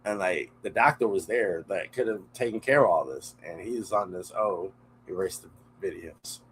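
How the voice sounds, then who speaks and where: A man's voice: deep voice, normal speed, fairly bright tone, a little hiss or hum, low-quality recording. A man co-hosting a podcast, chatting casually with blunt, slangy reactions. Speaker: podcast co-host. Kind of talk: casual chat.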